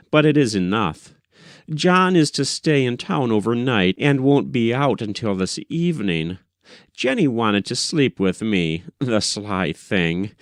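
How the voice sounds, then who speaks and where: A man reading a first-person short story as scripted literary narration, with measured, deliberate delivery. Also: mid-range voice, no room sound, clean studio sound. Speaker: audiobook reader.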